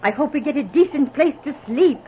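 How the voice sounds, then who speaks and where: An actress playing a spoiled, haughty society wife with a complaining manner, in 1940s radio style. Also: female voice, high voice, expressive, brisk, dark tone. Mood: peevish. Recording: muffled, noisy old radio recording.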